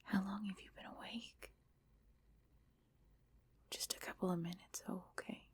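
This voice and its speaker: A woman playing an affectionate, sleepy girlfriend in an ASMR roleplay, whispering and murmuring softly with a breathy voice. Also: medium pitch, steady tone, moderate pace. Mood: sleepy, chatty.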